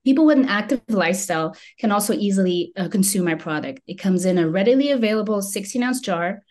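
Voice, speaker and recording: A woman's voice: medium pitch, lively intonation, fast talker, a little mellow. A woman from Belgium who owns a small food business, giving a prepared presentation on a video call. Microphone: video call microphone.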